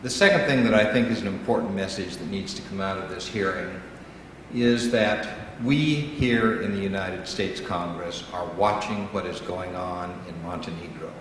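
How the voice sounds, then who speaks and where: A middle-aged man giving measured, somewhat halting closing remarks as chair of a congressional briefing, at a desk microphone. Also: low-pitched, a bit bright. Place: large hearing room. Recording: echoey hall, faint room noise.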